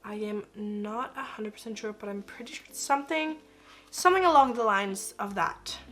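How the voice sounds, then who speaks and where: A woman's voice: mid-range voice, normal speed, a bit bright. An 18-year-old woman from Denmark, chatting casually to camera as a book vlogger, gushing and full of "like" fillers. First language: Danish.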